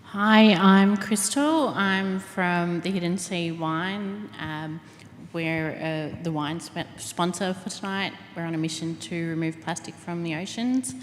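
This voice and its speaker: A woman's voice: lowish voice, takes it slowly. A woman introducing herself at a panel event as a sponsor's representative. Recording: echoey hall with background noise.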